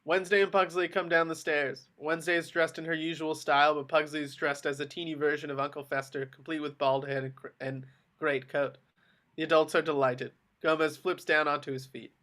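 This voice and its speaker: Bright-voiced man narrating. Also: a little high, flat delivery, brisk. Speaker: narrator reading stage directions. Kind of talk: scripted narration for a table read.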